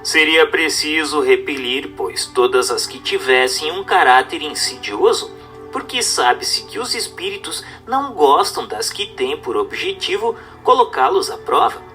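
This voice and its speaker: A man reading a religious text as scripted narration, in a formal, instructive and carefully phrased manner. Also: medium pitch.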